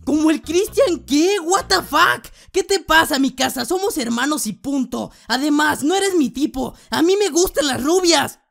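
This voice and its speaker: A woman's voice: high voice, lively intonation, moderate pace, vocal fry, hardly any pauses, fairly bright tone, soft background music, full character voice. A woman voicing a horny, brazen teenage boy in a comedic Spanish-language anime parody, cheeky and melodramatic. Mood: exasperated. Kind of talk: comedic fandub parody acting.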